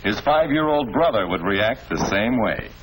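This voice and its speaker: A fatherly, middle-aged American schoolmaster from a 1940s classroom film. His low voice swings expressively as he patiently explains. Faint noise from the old soundtrack sits under it. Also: expressive, normal speed, a bit bright, rough recording. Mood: patient, instructive. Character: patient, avuncular male school principal or teacher. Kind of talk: 1940s educational film acting.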